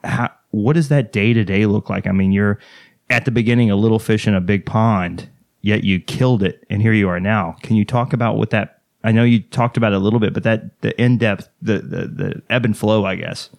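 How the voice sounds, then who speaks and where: A man's voice: fairly low pitch, fairly even intonation, a little fast, creaky, no echo. A man from Texas with a slight Texas accent, hosting a casual interview in a rambling, self-deprecating, jokey way. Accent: American, slight Texas. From Texas, United States. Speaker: podcast host interviewing a guest.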